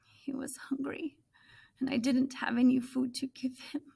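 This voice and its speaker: A woman's voice: high-pitched, little pitch movement, normal speed, vocal fry, dark tone, slightly rough, pitched above the performer's own voice, exaggerated voice. A woman voicing a grieving, guilt-ridden young woman in a storybook, speaking plaintively. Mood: grieving, guilty.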